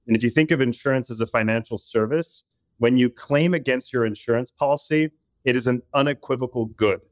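A man's voice: medium pitch, a little fast, no echo, studio quality. A man from Puerto Rico speaking as a podcast guest, articulate but often restarting and repeating words. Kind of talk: casual interview talk.

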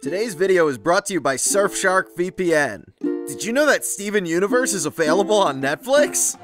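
A man's voice: high voice, animated, moderate pace. A young man in casual promotional mode. He is playful and informal, a video creator talking over faint music. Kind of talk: casual sponsor read.

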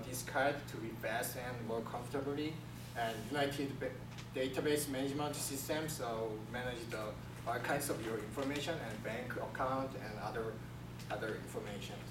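Korean-accented man presenting in echoey classroom. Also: medium pitch. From South Korea. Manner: halting, many restarts, simple phrasing. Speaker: student presenter. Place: classroom with projector screen. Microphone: far camera. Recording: echoey room from far off, room hiss.

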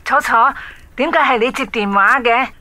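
A woman's voice: on the high side, moderate pace, pushed and bright, no room sound. An actress playing a friendly, open-minded middle-aged mother in a radio drama, motherly, inquisitive and coaxing. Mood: pleased curious. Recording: background music under studio drama dialogue.

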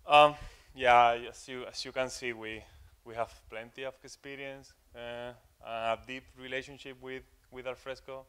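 Young male tech speaker, clear smooth voice, halting delivery full of "um"s, live conference room. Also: medium pitch, fairly even intonation, fairly bright tone. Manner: hesitant, many fillers and repeated words. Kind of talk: technical conference talk.

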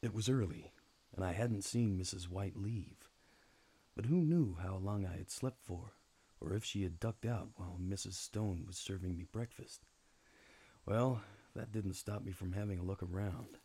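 A man playing a world-weary, wry private detective, deadpan, narrating a noir radio drama. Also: low-pitched, normal speed, slightly rough. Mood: brooding, wry. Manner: deadpan, hardboiled. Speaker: narrator and lead actor.

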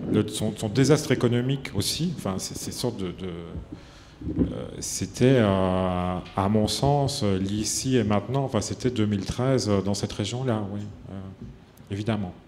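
A man's voice: lowish voice, normal speed, a little mellow. Stammering man in a reverberant hall. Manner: stammering, repeating words while thinking aloud. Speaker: film director. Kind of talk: press conference answers. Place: press conference room. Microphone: table microphone. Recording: reverberant hall, faint noise.

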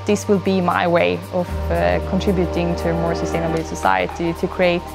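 A woman's voice: lowish voice, level tone, a little fast, gravelly. A woman, earnestly explaining her company's work in a documentary interview, with frequent "uh" fillers, recorded on a lapel mic in a lab. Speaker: company founder and CEO. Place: indoor lab. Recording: music bed under the voice. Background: background music.

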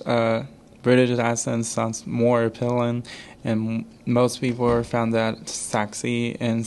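A young man, an international student, telling a story on student radio with many pauses and hesitations. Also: mid-range voice. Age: young adult. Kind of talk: casual student radio chat.

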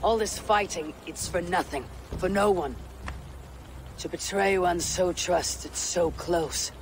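A stern viking warrior. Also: male voice, sits high, takes it slowly, a little hiss or hum. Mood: firm. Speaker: game protagonist, viking warrior. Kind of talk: game dialogue acting. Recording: game audio with music.

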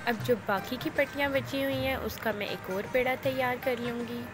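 High-voiced woman teaching a recipe. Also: normal speed. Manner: calm, instructive, addresses viewers directly. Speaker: cooking channel host. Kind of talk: step-by-step cooking tutorial voice-over. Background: loud background music.

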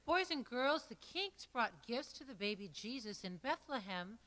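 A woman's voice: on the high side, very animated, normal speed, fairly bright tone. A woman leading a church show, addressing children and introducing carols in a scripted style. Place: large church sanctuary, indoors. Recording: reverberant church hall.

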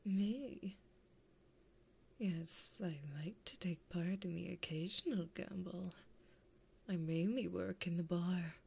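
A woman voicing a flirty, possessive, taunting female demon in an ASMR roleplay, breathy and often whispering in a teasing, intimate way, close to a binaural mic. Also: fairly low pitch, takes it slowly, pauses often, a little mellow, heavily acted. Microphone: close binaural microphone.

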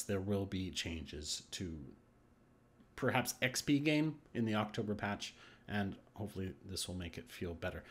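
Man, gaming commentator, casual, hesitant restarts, mid-pitched voice. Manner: thinks aloud with restarts and hesitations. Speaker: gaming YouTuber. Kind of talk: casual commentary on game patch notes. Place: voice-over on screen capture.

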